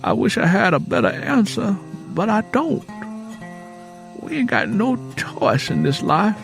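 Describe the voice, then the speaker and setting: A man voicing a sorrowful, resigned older man in an audiobook, speaking in a folksy, halting way. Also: high voice, big pitch swings, vocal fry, over music, voice pushed up, heavily acted. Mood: resigned, pleading. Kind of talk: audiobook character acting.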